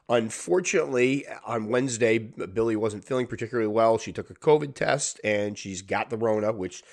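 A man in his thirties or forties with a stuffy, congested nose, hosting a solo podcast in a chatty, rambling way. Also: lowish voice. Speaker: podcast host. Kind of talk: casual solo podcast talk.

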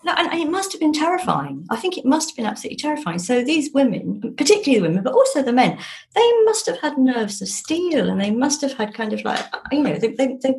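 Animated woman chatting in an interview. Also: sits high, fast talker, creaky. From London, England. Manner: animated, emphatic, repeating words for effect. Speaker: author guest. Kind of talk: casual conversational interview.

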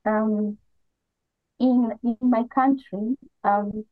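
A woman's voice: a little high, steady tone, very slow, no room sound. A woman with a Kenyan accent, a guest answering interview questions thoughtfully, with frequent "um". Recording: tinny phone-like call line.

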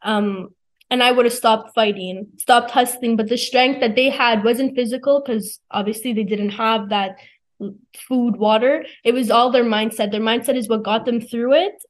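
Higher-pitched teenage girl, plain-spoken. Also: fairly even intonation, moderate pace. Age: teens, high school senior. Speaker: student interview guest. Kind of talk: informal interview answer.

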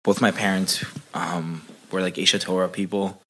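Young male guest from Chile, deep voice, easygoing talker. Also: level tone, normal speed, pushed and bright, a little hiss or hum, rough recording. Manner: laid-back, lots of "like" and "uh". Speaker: podcast guest. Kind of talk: casual interview chat.

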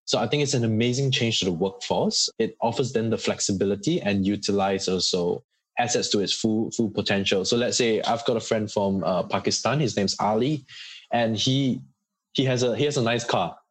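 A Singaporean man speaks in a fairly low, husky voice as an interview guest. He explains things earnestly and conversationally, with examples, in a dry, close sound. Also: moderate pace, no echo.

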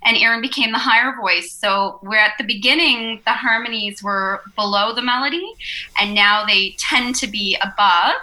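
A woman's voice: fairly high pitch, moderate pace, pushed and bright, rough recording. A woman, a singer and teacher in a band, chatting in an interview, laughing easily and joking about herself. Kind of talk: casual interview chat.

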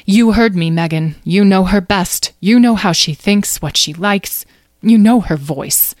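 A woman's voice: mid-range voice, unhurried, a little mellow, no echo, clean studio sound, voice pushed up, lightly acted. Woman playing an earnest, commanding mother dragon. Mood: pained, insistent. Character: anxious, determined mother dragon leader, fiercely protective.

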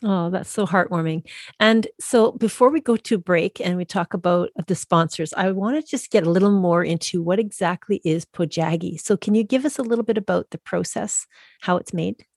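A woman's voice: on the low side, moderate pace, a little mellow, dry recording, clean studio sound. A woman hosting a podcast, asking questions and reading sponsor messages in an upbeat, enthusiastic way. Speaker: podcast host.

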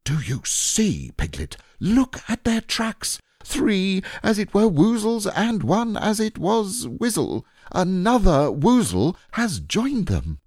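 A man voicing an earnest, puzzled toy bear who muses in a slow, deliberate way, as a storybook character. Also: high voice, huge pitch swings, darkish tone, no echo, clean studio sound, voice pushed up, full character voice. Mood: pondering. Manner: ponderous, deliberate, musing. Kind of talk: children's storybook character reading.

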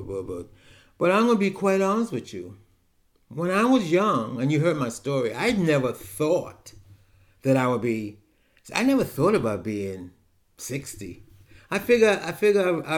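A man, talking frankly and rambling through a casual personal monologue with many restarts. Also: mid-range voice. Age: 70s. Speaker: podcast host.

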